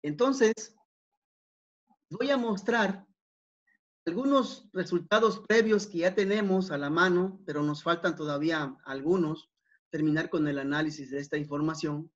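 A man giving an academic talk over slides, unhurried, with many fillers and repeated words. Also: a little high. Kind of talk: conference presentation over slides. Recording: dull, lo-fi online talk.

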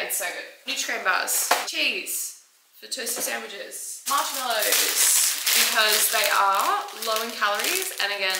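A woman's voice: medium pitch, gravelly. A woman in her twenties with an Australian accent, chatting casually and informally to camera in a rambling home vlog. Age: 20s. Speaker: vlogger, fitness trainer. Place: indoors at home, kitchen and other rooms. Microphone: camera mic, from arm's length to a few steps away. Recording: echoey room with faint noise and clicks.

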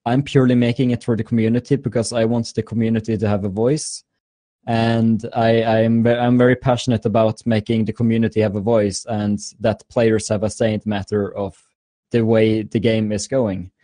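A man's voice: lowish voice, little pitch movement, moderate pace, dark tone, no echo. A man in his twenties from Norway, a guest answering interview questions eagerly, often repeating words and laughing. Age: 20s. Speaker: guest YouTuber. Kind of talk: casual interview.

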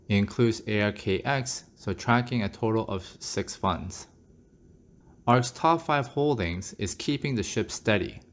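A man reading a scripted voice-over commentary on stock market charts, steady and explanatory, sometimes asking the viewer direct questions. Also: on the low side. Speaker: finance channel host.

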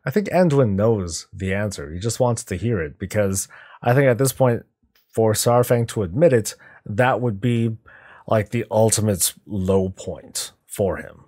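A man in his thirties hosting a reaction video, giving off-the-cuff analysis in a deliberate, emphatic way. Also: fairly low pitch, animated, dark tone, husky.

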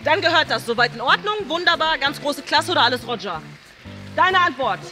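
A young woman chatting casually and reading quiz questions in an excitable, playful way. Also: high voice, a little fast. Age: young adult. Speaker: host of a challenge video. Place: large indoor swimming pool hall. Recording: music behind the voice. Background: music.

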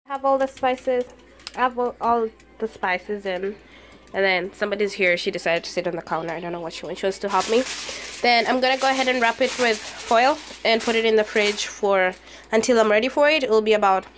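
A young woman with a foreign accent, chatting and telling stories in a casual cooking vlog from her small home kitchen. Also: on the high side. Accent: foreign, non-native English. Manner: chatty storytelling, animated. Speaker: vlogger. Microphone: phone camera on the counter.

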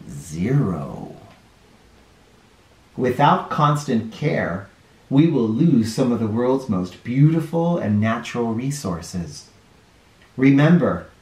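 A man in his forties reading a children's picture book aloud at home, expressive, dropping his voice low on each count. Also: roomy sound. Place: living room with couch and toys, indoors.

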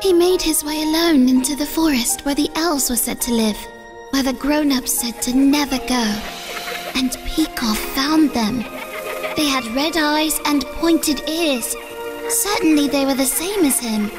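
A timid young village girl, played by a woman speaks in a high voice at a slow pace. She is earnest and hesitant, like a character in a dubbed comic, with music behind her. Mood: earnest storytelling. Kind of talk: comic dub acting and fairy-tale storytelling.

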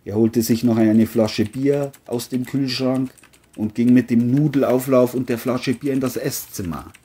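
A woman's voice: rumbling low, steady tone, a little slow, warm tone, slightly rough. A woman reading scripted narration for a crime audio story.

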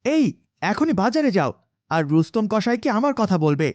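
A man's voice: high-pitched, big pitch swings, moderate pace, a little mellow, dry recording, muffled recording. Man as swooping, bossy rich master. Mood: bossy, boastful. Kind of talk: cartoon moral-story voice acting.

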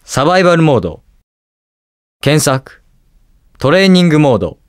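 A man's voice: a little high, moderate pace, no room sound, clean studio sound. A young man playing a cool, inquisitive detective superhero in a video game, with precise, teasing delivery. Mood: composed, curious. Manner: calm, precise, smug and curious delivery. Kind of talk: Japanese video game voice acting, short lines.

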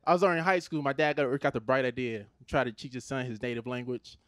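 A man from Los Angeles in his thirties with an American accent, performing a stand-up comedy set on a theater stage into a handheld mic, animated and conversational. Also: mid-range voice, quick pace, dry recording, studio quality. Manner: animated, conversational, switches into put-on Nigerian voices for his parents.

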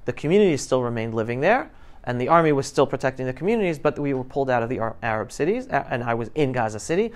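Clear-voiced man giving an emphatic talk. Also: mid-range voice, big pitch swings, fast talker. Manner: emphatic, questioning the audience. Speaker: guest speaker. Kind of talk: informal talk to high school students. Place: classroom, indoors. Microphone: lapel mic.

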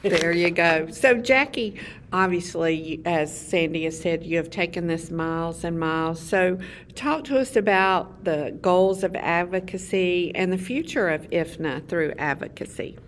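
A woman's voice: on the low side, measured pace, slight background noise. A woman hosting an interview, briefly prompting her guest with questions. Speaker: podcast host.

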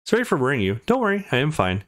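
A man voicing an apologetic, reassuring young male student in a visual novel, in an earnest, expressive way. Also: fairly high pitch, fast talker.

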